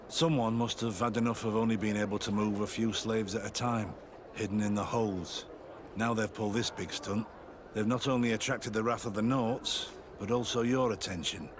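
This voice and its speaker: A male actor plays a guilt-ridden sergeant turned secret informant in a video game. His low voice is earnest and guarded, as if confiding. Outdoor noise runs clearly behind him. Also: fairly even intonation, normal speed, lightly acted. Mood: grave. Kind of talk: video game cutscene acting.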